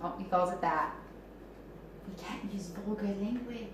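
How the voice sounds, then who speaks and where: A playful, chatty woman bantering in a casual home video. Her voice is mid-pitched and carries from a distance off the mic. The room is very echoey, with a low hiss of background noise. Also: a little slow. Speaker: co-host of book club video. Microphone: camera mic at a distance.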